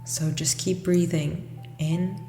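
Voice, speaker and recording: A woman leading a guided meditation, speaking in a gentle, unhurried, instructional way with long pauses between phrases. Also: on the low side, fairly even intonation. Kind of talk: guided meditation, slow soothing scripted narration. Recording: echoey long reverb with music underneath. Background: music.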